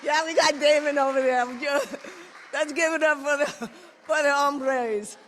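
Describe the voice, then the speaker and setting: A woman's voice: sits high, steady tone, slow pace, fairly bright tone, long reverb tail, slight background noise. A woman speaking as executive director to her staff, hesitant and self-deprecating about her Spanish. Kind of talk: speech to staff.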